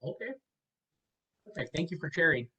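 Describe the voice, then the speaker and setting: A man's voice: fairly high pitch, lively intonation, normal speed, sharp tone, husky. A man teaching a class online, giving brief, friendly acknowledgements as students introduce themselves. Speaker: instructor. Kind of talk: class discussion.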